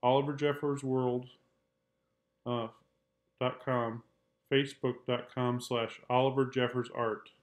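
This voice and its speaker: A man's voice: mid-range voice, level tone, measured pace, pauses often. A man, an art teacher, narrating a children's book read-aloud.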